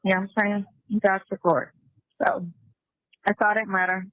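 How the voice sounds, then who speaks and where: A woman's voice: mid-range voice, flat delivery, moderate pace, a bit bright, dry recording. A woman chatting casually on a phone call, slangy and given to repeating herself. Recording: muffled played-back phone call.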